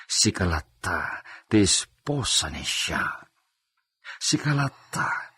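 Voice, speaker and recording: A man playing a domineering, lecherous husband and lord in a radio drama, speaking deliberately with Spanish phrases mixed in and a husky voice. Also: low-pitched, sing-song, bright, forceful tone, no echo, clean studio sound, exaggerated voice. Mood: cold, commanding. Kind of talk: Ilocano radio drama acting.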